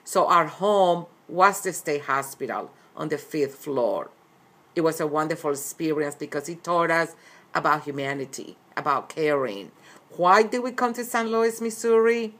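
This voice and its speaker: A woman's voice: low voice, fairly bright tone. A middle-aged woman from Colombia, speaking earnestly and often repeating herself as an interviewed author. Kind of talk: recorded interview.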